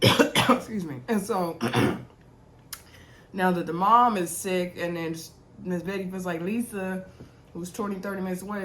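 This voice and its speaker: A woman's voice: fairly low pitch, a little hiss or hum. A young woman with a sore throat and congestion chatting casually to her viewers as she recaps a reality TV episode, with lots of ums and y'alls. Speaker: TV recap host.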